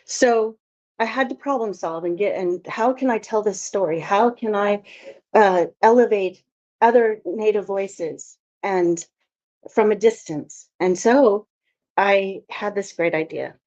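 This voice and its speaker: A woman's voice: mid-range voice, unhurried, a little mellow. A woman from Alaska giving a conference talk as a filmmaker, telling her story in a chatty, anecdotal way. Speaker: filmmaker presenter. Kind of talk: conference presentation. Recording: dull lo-fi audio.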